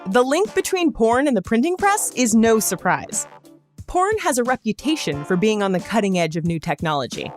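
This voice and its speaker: A woman's voice: a little high, very animated, normal speed, soft background music. A woman hosting a podcast, reading scripted narration in a wry, conversational way with asides. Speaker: podcast host.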